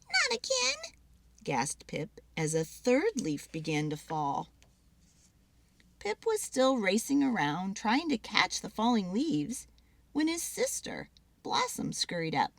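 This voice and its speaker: A woman giving an expressive, dramatic read-aloud of a children's story. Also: mid-range voice, takes it slowly, no room sound.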